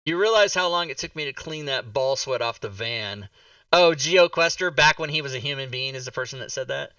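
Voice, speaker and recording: An American man talks as a livestream host with a bright, forceful voice. He is opinionated, joking and loose with swearing. The sound is dry but a little dull. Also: medium pitch, animated, brisk, no echo, distant mic. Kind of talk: casual livestream chat.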